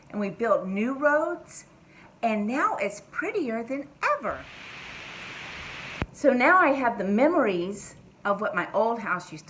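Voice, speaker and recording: A woman guest telling a personal story to children, in simple words with expressive delivery. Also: a little high, a little hiss or hum, low-quality recording.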